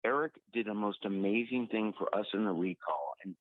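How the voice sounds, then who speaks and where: Male radio host, upbeat, low-ish voice, dry tinny phone-line audio. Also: fairly quick, no echo. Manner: upbeat, energized. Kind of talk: talk radio hosting. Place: large airplane hangar event.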